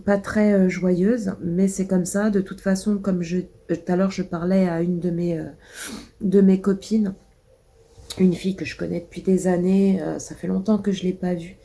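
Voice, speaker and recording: A woman's voice: lowish voice, little pitch movement, normal speed, creaky, mellow. Stuffy-nosed woman with a cold. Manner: rambling, many hesitations. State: congested, stuffy nose; coughing. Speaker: vlogger. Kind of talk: casual vlog chat.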